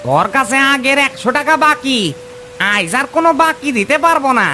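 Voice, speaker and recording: A man's voice: very high-pitched, very animated, fairly quick, bright, forceful tone, a little hiss or hum, heavily acted. A man playing a brusque, grudging village shopkeeper in a cartoon. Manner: brusque, colloquial. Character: grudging, gruff village shopkeeper refusing credit. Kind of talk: Bengali moral-story cartoon acting.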